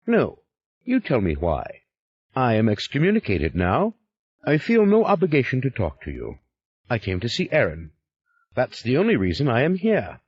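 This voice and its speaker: A man's voice: mid-range voice, wildly swooping pitch, normal speed, slightly rough, dry recording, lo-fi sound, voice pushed up. A man doing a curt, defiant excommunicated man. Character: proud angry male ex-member, suspicious.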